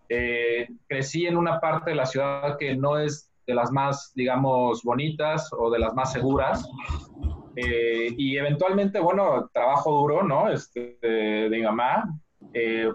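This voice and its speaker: A man's voice: mid-range voice, slight background noise. Mexican guy in his 30s talking about his life, says "este" a lot, kinda dull lo-fi sound. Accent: Mexican Spanish. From Mexico City. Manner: many fillers like "este" and "¿no?". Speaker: guest. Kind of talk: casual interview, storytelling. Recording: dull lo-fi audio.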